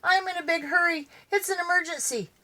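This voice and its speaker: A woman's voice: very high voice, a little fast, sharp tone, voice pushed up. A woman voicing a small, timid, polite storybook dog who speaks earnestly. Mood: frightened, pleading.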